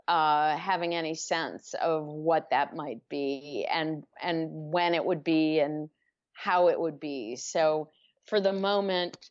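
A woman author as an interview guest, answering in a measured, reflective way. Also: deep voice, highs cut off. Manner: measured, reflective, uses images to explain.

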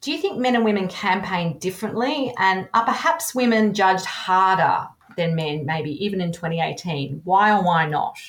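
A woman's voice: mid-range voice, moderate pace, few pauses. Woman host in a roomy echo. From Australia. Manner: agreeable, affirming, thoughtful questions. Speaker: podcast host. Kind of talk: conversational interviewing.

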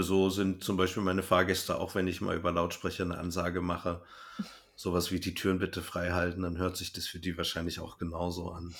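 A man's voice: deep voice, fairly bright tone. A man hosting a casual podcast interview and chatting with his guest.

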